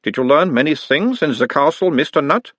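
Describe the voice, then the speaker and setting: A man's voice: on the high side, expressive, a little fast, fairly bright tone, no room sound, clean studio sound, voice pushed up. A man voicing an orc who plays his own analyst, asking mild, coaxing questions in an Uberwaldian accent.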